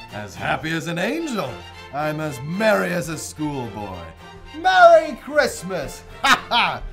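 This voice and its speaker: A giddy reformed old miser. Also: male voice, fairly high pitch, vocal fry, a bit bright, exaggerated voice. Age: older. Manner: exuberant, laughing, theatrical. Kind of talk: radio drama acting.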